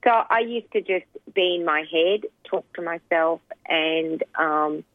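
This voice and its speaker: A low-pitched woman in her sixties, from Sri Lanka, recounts her past in a soft, hesitant way with frequent pauses. Her voice comes through a narrow, phone-like line with a dry sound. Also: no room sound. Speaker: interviewee. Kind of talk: personal storytelling interview.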